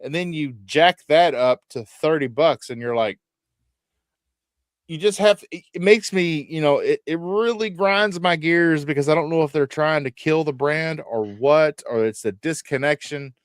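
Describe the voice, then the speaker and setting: A man's voice: on the high side, unhurried, no room sound, clean studio sound. Opinionated man on a podcast panel. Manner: rambling, opinionated, trails off mid-sentence. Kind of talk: casual panel chat.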